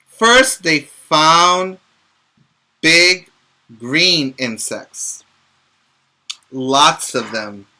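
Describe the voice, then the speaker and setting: A man's voice: fairly high pitch, lively intonation, very slow, long pauses, sharp tone, poor audio. A male preschool teacher reading a picture book aloud and explaining letter sounds, asking the children questions as he goes. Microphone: home video recording for virtual class.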